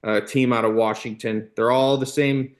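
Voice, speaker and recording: An American man in his thirties with a mid-pitched voice, chatting as a podcast guest. He tells stories in a loose, rambling way, with plenty of "you know" and "like" along the way. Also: fairly even intonation, moderate pace. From Illinois, United States. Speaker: podcast guest, former baseball player and youth coach. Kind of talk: casual anecdotal storytelling.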